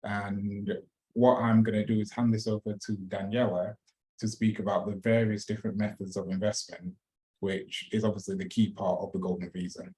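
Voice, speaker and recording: A man explaining a residency program in a relaxed, chatty way, with fillers and restarts. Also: fairly low pitch, moderate pace, low-quality recording. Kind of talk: informational talk.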